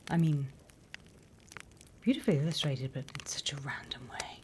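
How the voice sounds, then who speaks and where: A British woman playing a sly, mock-stern demon teacher in a whispered ASMR roleplay, addressing her trainees with deliberate, teasing menace. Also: extremely low pitch, animated, slight background noise. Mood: wry, instructive.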